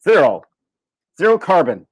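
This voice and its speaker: A man's voice: a little high, very animated, moderate pace, slightly rough, voice pushed up. A man voicing a preachy, hypocritical corporate executive, pompous and emphatic, in a mocking livestream parody. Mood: mock earnest. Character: preachy, hypocritical male corporate executive making grand pledges.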